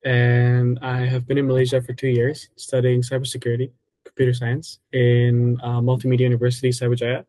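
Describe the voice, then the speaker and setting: A man in his twenties from Egypt, a native Arabic speaker, answering interview questions in relaxed, casual English with many fillers and self-corrections. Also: mid-range voice, a little fast, no echo. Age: 20s.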